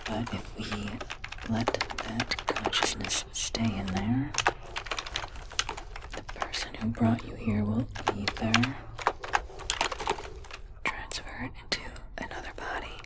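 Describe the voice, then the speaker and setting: Whispering female alien technician, acted by a woman, slow and deliberate, calm, methodical, reassuring, ASMR roleplay. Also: extremely low pitch, full character voice. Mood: grave, reassuring. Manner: slow, deliberate, hushed delivery.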